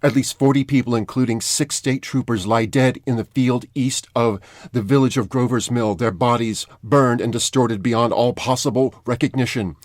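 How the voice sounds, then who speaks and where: An actor playing a solemn network announcer delivering a formal, serious news bulletin in a radio drama. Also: male voice, medium pitch, no room sound, clean studio sound, close to an everyday voice.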